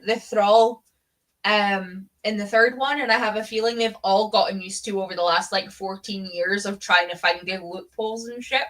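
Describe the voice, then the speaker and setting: A woman's voice: medium pitch, fairly even intonation, moderate pace, fairly bright tone, rough recording. A woman with a Scottish accent chatting casually and fannishly about books as host of a live readalong, with the odd swear word. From Scotland. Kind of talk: casual book chat.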